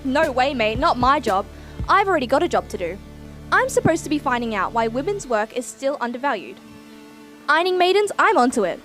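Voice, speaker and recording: A woman's voice: high voice, a little fast, faint music behind. A woman playing a plucky young Australian student research assistant, narrating a radio play in slangy first person. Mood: indignant. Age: young adult. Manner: animated, slangy.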